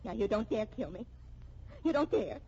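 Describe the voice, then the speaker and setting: Tense american woman in a deadly standoff, dramatic, fairly high voice, old dull radio drama w some hiss. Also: lively intonation, normal speed, mellow. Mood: frightened, defiant. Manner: dramatic, emphatic. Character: tense women in a deadly confrontation, accusing and defiant. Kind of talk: 1950s radio drama acting. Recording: dull, noisy 1950s radio recording.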